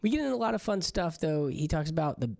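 A hoarse young man hosting a podcast in a casual, rambling monologue that turns exasperated. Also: on the high side, very animated, fast talker, darkish tone. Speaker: podcast host.

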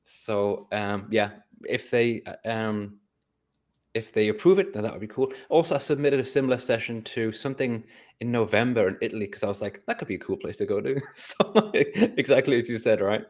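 A man in casual conversation on a podcast, relaxed and reflective, chuckling now and then. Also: on the low side, a little fast. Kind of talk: casual chat. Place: indoors at home.

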